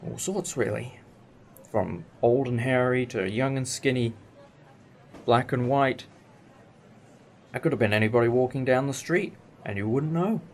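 A man's voice: mid-range voice, unhurried, long pauses, close to an everyday voice. A man playing a wry, old-fashioned time-travelling alien leader in a fan audio drama, explaining things with some hesitation. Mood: wry, explanatory. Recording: amateur recording with clear background noise.

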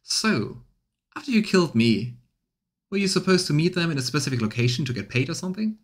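A man voicing a calm, probing crusade commander in a fantasy game, speaking in a measured way. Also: on the high side, voice pushed up. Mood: stern. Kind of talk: voiced fantasy game dialogue.

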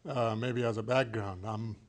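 An older man from Ethiopia, a scientist answering interview questions in a measured, thoughtful way with many fillers, recorded on a lapel mic in a small studio. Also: lowish voice, fairly even intonation. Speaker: plant scientist guest. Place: small studio set indoors.

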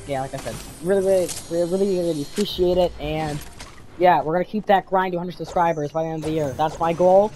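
A man's voice: on the high side, mellow, slight background noise. Teenage boy with a head cold. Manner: rambling, repeats words, many fillers and restarts. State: congested from a cold. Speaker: gaming YouTuber. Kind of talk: casual vlog commentary over gameplay.

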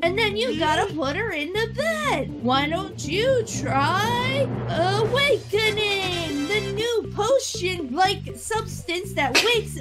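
A woman voicing an over-eager infomercial pitchwoman in a comic parody dub, selling with drawn-out emphasis. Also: animated, full character voice, low-quality recording. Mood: salesy, excited. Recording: music behind voice.

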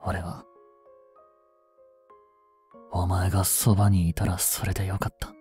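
A man playing a grieving young policeman in an audio drama, speaking in a slow, bitter, intimate murmur. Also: sits low, level tone, dark tone. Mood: anguished tenderness. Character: grieving young policeman mourning his criminal girlfriend, tender. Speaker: voice actor. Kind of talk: Japanese audio drama monologue. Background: music.

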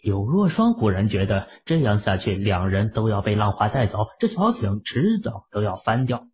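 A man narrating an audio drama in a steady storytelling manner, with a husky, breathy voice. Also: on the low side, normal speed. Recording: muffled lo-fi audio.

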